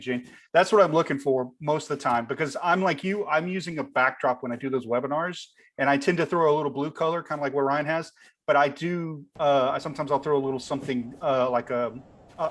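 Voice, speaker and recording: A man giving an informal, conversational explanation as a webinar panelist, softening his points as he goes, speaking from a room at home. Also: mid-range voice, brisk. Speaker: panelist on lighting gear.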